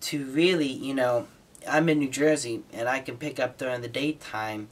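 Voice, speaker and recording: An American man giving an informal, explanatory hobbyist talk, somewhat halting, with repeated words as he explains. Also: mid-range voice, fairly even intonation. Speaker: hobbyist presenter.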